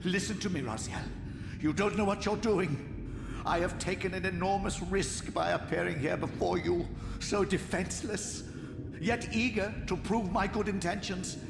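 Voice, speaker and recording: Creaky, scheming old prophet. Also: male voice, sits high, normal speed, background noise. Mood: wheedling. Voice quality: creaky, aged. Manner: oily, pleading, grandiloquent, swooping. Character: scheming, obsequious old male prophet, sly and pious. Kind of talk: theatrical game cutscene acting. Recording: echoey hall reverb, music underneath. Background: game score.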